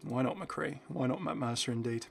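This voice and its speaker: A man's voice: medium pitch, moderate pace, gravelly, a crowd in the background. A man hosting a gaming video with casual, unscripted commentary in a chatty, slightly hesitant way as he reacts to what he sees.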